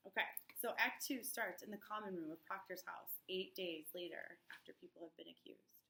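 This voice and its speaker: A woman's voice: lowish voice, fairly quick, gravelly, sharp tone. A woman teacher reading a play aloud to students, with explanatory asides and frequent check-ins. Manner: checks in often with the listener. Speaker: English teacher.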